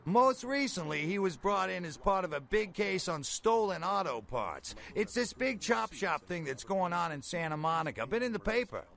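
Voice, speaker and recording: A man's voice: high voice, very animated, normal speed, vocal fry, hardly any pauses, a bit bright, heavily acted. An actor playing a jittery, folksy bail bondsman in a video game, talking in colloquial street slang. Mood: brisk, streetwise.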